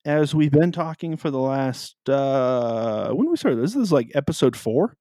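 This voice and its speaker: A man with a deep, carrying voice co-hosting a casual podcast about the Bible, explaining at an easy pace with plenty of ums. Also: lively intonation, measured pace, dark tone, no room sound, studio quality. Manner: easygoing, explains with many ums. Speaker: podcast co-host.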